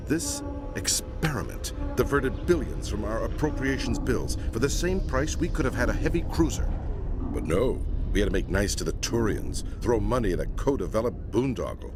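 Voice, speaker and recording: An actor playing a stern, disapproving middle-aged admiral in a sci-fi video game, gruff, blunt and sardonic in a commanding way. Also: male voice, mid-range voice, animated, moderate pace, over music, lightly acted. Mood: scornful. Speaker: rear admiral character.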